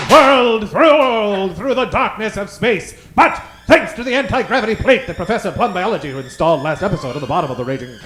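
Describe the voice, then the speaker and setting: A man announcing and narrating an old-time radio serial, theatrical, with dramatic pauses. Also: high-pitched, a bit bright. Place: live stage performance. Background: music.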